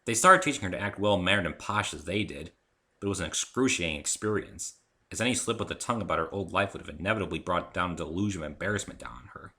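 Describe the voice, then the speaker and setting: A man reads first-person fiction aloud in a dramatic storytelling style. His voice sits very low, yet has a sharp, forceful tone. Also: quick pace. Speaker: author reading own story.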